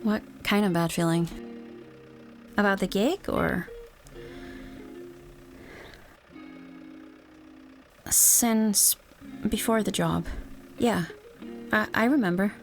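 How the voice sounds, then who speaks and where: A woman playing a wry, teasing female netrunner in a conversational cyberpunk ASMR audio roleplay, talking casually to her partner with thoughtful pauses. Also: mid-range voice, soft background music. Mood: concerned, thoughtful. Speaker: roleplay voice actor.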